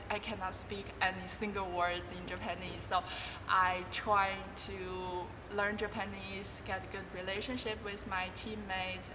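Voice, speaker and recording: A woman in her twenties whose first language is Chinese answers questions in halting English, often stopping and restarting her sentences. She sits well back from the microphone. Her voice rings with long reverb, and steady background noise is clearly heard. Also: lowish voice, recorded from a distance. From China. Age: 20s. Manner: halting non-native English with many restarts. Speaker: college volleyball player. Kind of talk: interview answers.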